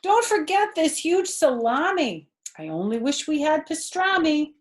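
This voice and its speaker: Woman voicing an excitable, high-pitched hungry little sister. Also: unhurried. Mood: eager. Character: eager, excitable hungry young sister in a storybook.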